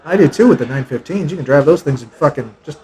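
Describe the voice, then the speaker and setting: A man's voice: fairly high pitch, expressive, fast talker, mellow. A man in his forties from Texas, a soldier talking about his life in a casual podcast chat, blunt and jokey, swearing freely. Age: 40s. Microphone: podcast mic.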